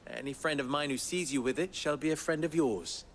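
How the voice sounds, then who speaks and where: An actor playing a wry, sardonic merchant fixer with a dry, teasing manner in a game cutscene. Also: male voice, a little high, a little fast, a little hiss or hum, natural voice. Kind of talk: video game cutscene acting. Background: game score.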